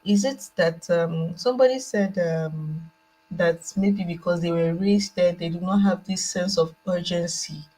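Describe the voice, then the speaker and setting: Husky, low-pitched Nigerian woman. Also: unhurried, dark tone, low-quality recording. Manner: hesitant, reflective, repeats phrases for emphasis. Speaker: remote guest on a panel discussion. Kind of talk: unscripted opinion, conversational. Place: indoors at a laptop on a remote online call.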